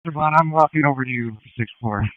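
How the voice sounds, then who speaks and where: A man working as a tactical police officer, speaking in measured, procedural phrases over police radio. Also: mid-range voice, a bit bright, no room sound, highs cut off.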